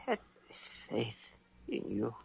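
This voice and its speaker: An actor playing a weak hospital patient coming round from shock in an old radio drama, his voice faint, breathy and croaking. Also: slow pace, close to an everyday voice. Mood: weak, grateful. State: weak, barely conscious. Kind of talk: 1940s-50s radio drama acting. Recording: muffled, narrow old radio recording.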